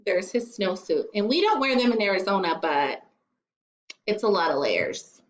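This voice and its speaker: A woman teacher reading a storybook aloud to children in an expressive way, with playful asides. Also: mid-range voice, moderate pace. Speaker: primary school teacher. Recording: echoey, slightly dull room recording.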